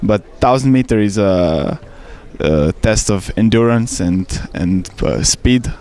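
A man's voice: on the low side, slow pace, a little mellow. A young man from Slovenia, speaking English as a second language, answering interview questions outdoors into a handheld mic with some fillers and restarts. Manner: careful non-native English with fillers and restarts. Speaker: canoe sprint athlete. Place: outdoors by a regatta course.

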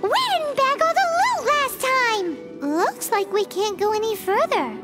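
Squeaky, very high tiny fairy. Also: female voice, animated, unhurried. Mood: curious, excited. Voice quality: squeaky, childlike. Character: tiny childlike fairy companion, chirpy, eager, greedy for loot. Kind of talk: English video game voice acting. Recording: loud music behind the voice.

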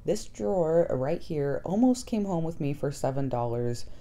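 Low-pitched, mellow chatty woman vlogger. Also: expressive, moderate pace. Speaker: vlog host. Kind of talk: casual shopping vlog narration. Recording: soft background music. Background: music.

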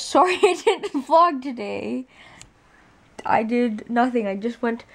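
A woman's voice: a little high, a little hiss or hum. A young woman chatting casually to camera from her bed, giggly and rambling, filmed at arm's length. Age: young adult. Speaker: vlogger. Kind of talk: casual vlog chat. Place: bedroom, lying in bed.

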